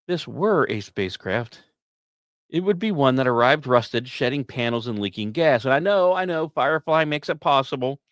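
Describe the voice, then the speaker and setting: A man's voice: medium pitch, no room sound, studio quality. A man hosting a science podcast, delivering semi-scripted commentary with wry asides and the odd stumbled, repeated word.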